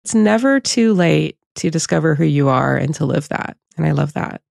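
Male podcast host, forties. Mid pitch, gravelly creak, dry close sound, conversational. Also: animated, normal speed, no room sound. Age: 40s. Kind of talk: casual interview chat.

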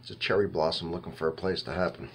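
Guy in his 50s doing a casual review, creaky voice, halting, some noise in the background. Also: low-pitched, fairly even intonation. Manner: halting, rambling, thinking aloud. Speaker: wine reviewer and host. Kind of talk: casual, off-the-cuff tasting review to camera. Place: small indoor home bar room.

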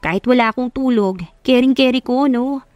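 A woman's voice: high voice, normal speed, a little mellow, no echo, highs cut off, voice pushed up, close to an everyday voice. A woman voicing a hardworking, cheerful young woman with a confident, reassuring, casual way of talking in an audio love story.

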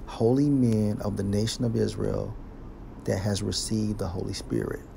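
A man teaching scripture, reading verses aloud slowly and explaining each one with a deliberate delivery. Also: on the low side, steady tone, vocal fry, warm tone, a little hiss or hum. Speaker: Bible teacher.

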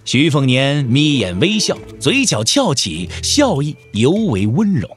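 A man's voice: mid-range voice, huge pitch swings, a little slow, music in the background. A man narrating a martial-arts audio drama in a dramatic storytelling style.

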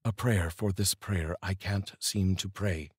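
A middle-aged man with a deep, heavily husky voice. He speaks earnestly and deliberately, in the measured manner of someone reading poetry to his viewers. The sound is dry and close, from a studio microphone in a small room. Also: steady tone, no room sound. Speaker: host and voice-over reader. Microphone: large studio microphone, up close.

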